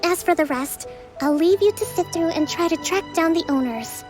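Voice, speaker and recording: High-voiced, composed young priestess. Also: female voice. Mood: considerate. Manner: measured, formal, authoritative. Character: composed young priestess leader, gentle but firm. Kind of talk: video game character acting. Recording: music playing behind.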